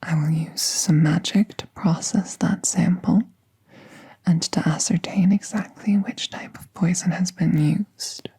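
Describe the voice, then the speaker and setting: Woman acting a whispering, breathy, gentle healer. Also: lowish voice, steady tone, measured pace, full character voice. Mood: calm, reassuring. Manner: soothing, careful, reassuring. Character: gentle, reassuring magical veterinarian woman soothing an injured patient. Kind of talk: ASMR roleplay.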